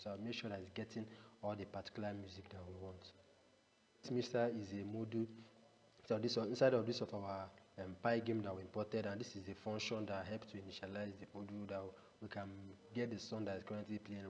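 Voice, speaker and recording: A man's voice: lowish voice, a little mellow. A man giving an unscripted step-by-step screencast tutorial as an instructor, often repeating himself and punctuating explanations with a rhetorical "what". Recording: echoey reverberant room, noisy background.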